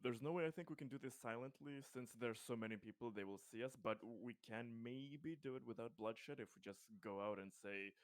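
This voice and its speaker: A man's voice: medium pitch, a little fast, few pauses, dry recording. Man chatting as a game player, dry sound. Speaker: player in a tabletop roleplaying game. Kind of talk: casual game table talk.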